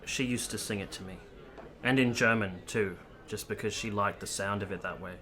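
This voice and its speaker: A man's voice: fairly low pitch, moderate pace, a bit bright, close to an everyday voice. A man playing an earnest, devoted, principled army captain in a period radio drama, speaking formally and sincerely. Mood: wistful.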